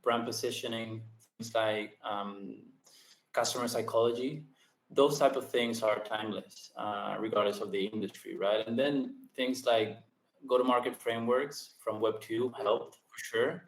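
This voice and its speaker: A man in his thirties from Argentina talks in a casual interview. He speeds up in bursts and fills his pauses with ums, and the room around him sounds echoey. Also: lowish voice, fairly even intonation. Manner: quick bursts, many ums, restarts. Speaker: podcast guest. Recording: roomy echo.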